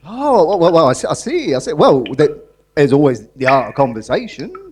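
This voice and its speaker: A man's voice: fairly high pitch, sing-song, normal speed, darkish tone. A man playing a friendly, dotty long-term prisoner on a comedy stage, stammering and rambling in a flustered, giggly way. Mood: flustered, eager. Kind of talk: live comedy theatre acting. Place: live performance in a museum. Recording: noisy live stage recording.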